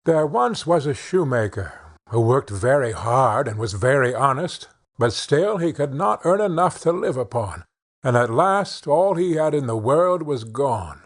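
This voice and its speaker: Animated, slightly husky male storyteller. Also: mid-range voice, a little slow. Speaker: audiobook narrator. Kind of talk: scripted fairy tale narration for children.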